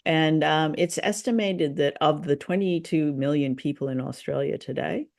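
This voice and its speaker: A woman's voice: deep voice, moderate pace, vocal fry, darkish tone. A woman co-hosting a casual discussion, explaining things conversationally and putting questions to her partner. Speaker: show co-host. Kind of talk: casual discussion of an old radio drama.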